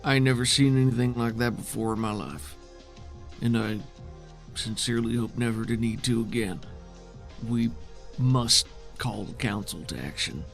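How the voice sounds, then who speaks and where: A man's voice: mid-range voice, normal speed, gravelly, soft background music, close to an everyday voice. A man voicing a solemn, formal baron and councillor in a tabletop game. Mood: grave, urgent. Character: grave, formal male baron and city councillor.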